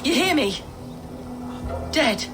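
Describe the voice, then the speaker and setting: Fervent grieving sister, high voice, pleading and prayerful, for a game cutscene. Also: female voice, big pitch swings, slow, background noise, exaggerated voice. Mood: defensive. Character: grieving, defensive sister of a bishop.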